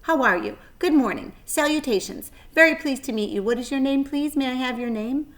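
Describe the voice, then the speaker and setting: An innocent little boy pig from a storybook, acted out by a woman, high in pitch and loud. He is wide-eyed and excitable, bursting out eagerly with every phrase. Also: brisk.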